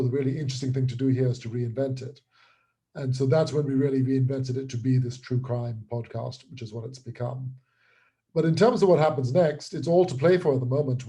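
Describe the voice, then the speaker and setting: A man's voice: mid-range voice, mellow. A man talking casually as an interview guest and creator, explaining things with repeated, restarted words.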